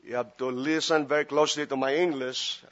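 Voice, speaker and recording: Filipino-accented man preaching, bright voice. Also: mid-range voice, steady tone, brisk, lo-fi sound. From Philippines. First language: Filipino language. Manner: chatty, joking asides, frequent uh fillers. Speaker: guest pastor. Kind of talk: sermon with prayer and humor.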